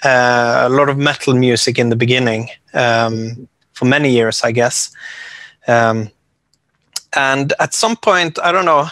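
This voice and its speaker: Norwegian-accented man, bright and dry. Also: medium pitch, unhurried, dry recording. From Norway. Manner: hesitant, many fillers and restarts. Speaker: composer guest. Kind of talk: casual interview talk.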